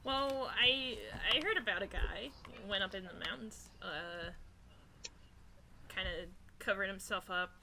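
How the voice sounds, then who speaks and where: A woman's voice: mid-range voice, measured pace, vocal fry, noisy background. A woman playing a tabletop game, chatting casually and hesitantly, often trailing off as she searches for words.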